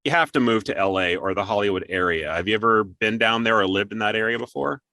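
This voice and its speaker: A man's voice: on the low side, fast talker, sharp tone, dry recording. A man hosting an interview show, moving between scripted introduction and upbeat chat.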